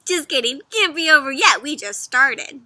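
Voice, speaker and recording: A woman reading a storybook aloud for children in an expressive, interactive way, putting questions to the listener. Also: high voice, moderate pace, no room sound.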